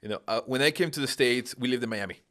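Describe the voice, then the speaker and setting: A man's voice: mid-range voice, quick pace, fairly bright tone. A man in his thirties, originally from Peru, explaining patiently in a casual conversation with frequent rhetorical questions.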